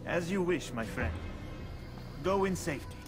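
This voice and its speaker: An actor playing a courteous, cautious informant of an assassins' order in a video game, measured and formal. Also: male voice, a little high, expressive, close to an everyday voice. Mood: earnest. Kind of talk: video game drama acting. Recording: dramatic music behind the voice. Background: music.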